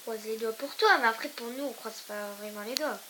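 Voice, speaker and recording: A woman's voice: fairly high pitch, a little fast. Young woman with a cold, hissy mic. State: stuffy with a cold. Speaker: gaming YouTuber co-host. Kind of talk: casual let's-play chat. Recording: hissy home recording. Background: steady hiss with clicks.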